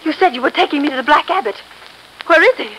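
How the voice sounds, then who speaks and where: An actress playing a frightened but spirited young heroine, melodramatic, in a 1930s radio mystery. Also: female voice, sits high, animated, fast, fairly bright tone. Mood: fearful, defiant. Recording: noisy, echoey 1930s radio recording.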